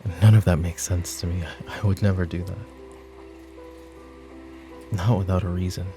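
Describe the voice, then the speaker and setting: Man acting a low, exasperated mafia boss over music. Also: steady tone, moderate pace, warm tone. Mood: weary, troubled. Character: exasperated, weary male mafia boss, secretly principled. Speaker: voice actor in audio roleplay. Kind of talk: ASMR audio drama roleplay.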